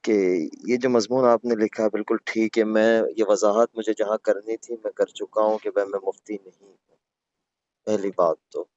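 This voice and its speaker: A man's voice: fairly low pitch, steady tone, moderate pace, a little mellow, no echo. A man from Gujarat pleads his case deferentially and earnestly as a caller in a private phone conversation. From Gujarat, India. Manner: deferential, earnest, repeatedly addressing the listener respectfully. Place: on the road, phone call. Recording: muffled, dull phone-call recording.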